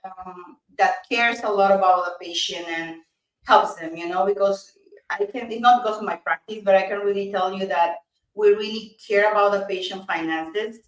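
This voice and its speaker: Woman, Peruvian Spanish accent, thirties, earnest, halting restarts, echoey room, distant from the mic. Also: medium pitch. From Peru. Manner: earnest, many restarts and fillers. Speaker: dentist, guest. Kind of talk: casual interview chat. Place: small office, indoors. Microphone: video call webcam. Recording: roomy, distant video-call audio.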